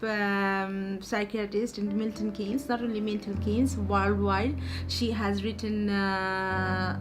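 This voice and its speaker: A woman hosting an interview for a coaching academy, asking questions hesitantly with many fillers and restarts. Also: mid-range voice. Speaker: interviewer, life coaching host. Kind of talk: hosted interview. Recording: music playing behind.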